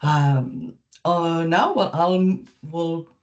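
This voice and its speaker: A woman's voice: sits low, drawn-out words. Woman with a cold, dull call audio. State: has a cold. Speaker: workshop host. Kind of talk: informal hosting of online meeting. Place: online video call.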